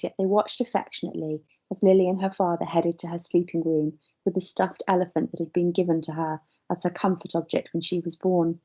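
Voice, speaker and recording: A woman reading a novel aloud as a teacher, in an expressive storybook narration style. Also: on the low side, low-quality recording.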